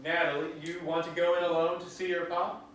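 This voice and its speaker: Man as genial rural storyteller, high male voice, folksy, stage drama, distant echoing room, faint noise. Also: level tone, brisk, fairly bright tone, close to an everyday voice. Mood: kindly. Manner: folksy rural dialect, drawn out. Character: genial, folksy small-town countryman telling stories. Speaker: actor in a staged reading. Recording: echoey hall, distant mic, faint noise.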